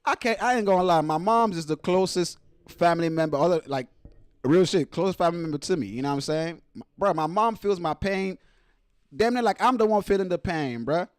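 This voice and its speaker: A man's voice: high voice, brisk, clean tone, no echo, studio quality. A man from Nigeria hosting a reaction show, talking casually with heavy slang and swearing in an exasperated way. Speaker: host of a live reaction show. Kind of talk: casual ranting reaction commentary.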